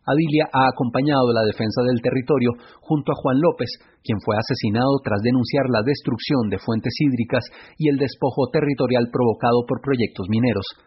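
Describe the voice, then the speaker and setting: A man reading scripted radio news in a measured, formal way. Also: medium pitch, husky. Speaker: news presenter. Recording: dull, muffled radio sound.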